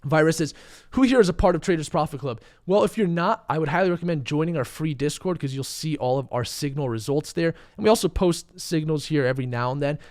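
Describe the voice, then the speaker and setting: A young man hosting a live crypto trading stream, a little hoarse and under the weather, talking casually and answering chat questions. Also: on the high side, fast, a little mellow. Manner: casual, chatty, uses fillers, reads chat questions. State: losing his voice, a bit sick. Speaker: crypto trading channel host. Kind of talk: live stream market commentary.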